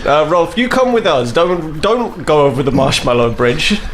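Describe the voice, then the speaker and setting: A man's voice: on the high side, lively intonation, normal speed, slight background noise. A man playing a tabletop game, talking hesitantly and repeating himself with lots of fillers. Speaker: player. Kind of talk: casual tabletop game play.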